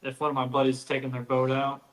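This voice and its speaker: A tired man with a mid-range voice co-hosts a live stream in relaxed, casual chat. He is easygoing and rambles, often calling people "dude.". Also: mid-range voice, quick pace, reverberant, rough recording.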